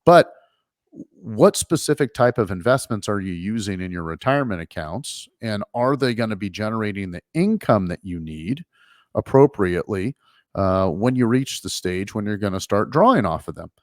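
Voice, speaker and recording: A man's voice: fairly low pitch, expressive, normal speed, dry recording, studio quality. A middle-aged man hosting a finance talk show, explaining things casually with many fillers and restarts. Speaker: financial advisor and show host.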